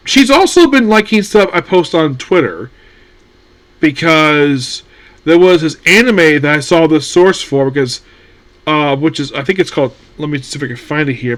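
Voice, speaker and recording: A man's voice: a little high, fairly bright tone. A man hosting a casual solo anime news talk, rambling with many fillers and restarts.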